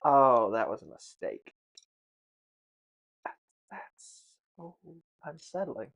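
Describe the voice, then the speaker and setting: A man chatting casually on a livestream in a halting, grumbling manner, often repeating his words. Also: mid-range voice, sing-song, creaky, highs cut off. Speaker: streamer. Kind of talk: casual chat while tasting food on a livestream.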